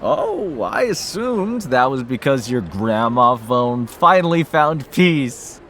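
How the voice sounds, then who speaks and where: An actor playing a cheeky, tipsy, carefree brother in a noir video game, with a playful, mocking, theatrical drawl. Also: male voice, mid-range voice, sing-song, slow pace, fairly bright tone, slight background noise. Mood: teasing, boisterous. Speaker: video game supporting character. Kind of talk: scripted visual novel voice acting.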